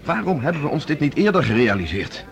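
A man's voice: a little high, sing-song, fast, gravelly. An actor playing a serious, reserved male colleague in radio play dialogue. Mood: troubled. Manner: sober, reserved.

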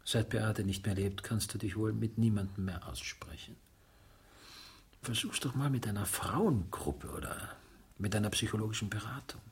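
An actor playing a world-weary middle-aged widower in a radio drama, husky, blunt and sardonic, suspicious yet gruffly consoling. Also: male voice, lowish voice, moderate pace. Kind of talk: German radio drama acting, naturalistic dialogue.

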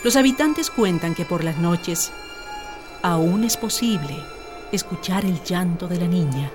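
A woman's voice: fairly low pitch, animated, unhurried. A woman with a Chilean accent narrating a radio drama as a scripted legend, solemn and dramatic. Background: music.

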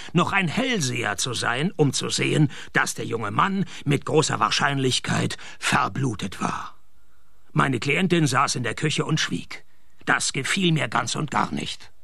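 Casting: dry private detective, gravelly, slightly high register, wry radio-play narrator. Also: male voice, no room sound, heavily acted. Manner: dry, laconic, deadpan. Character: wry, unimpressed male private detective narrating his case. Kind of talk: scripted first-person radio crime comedy narration.

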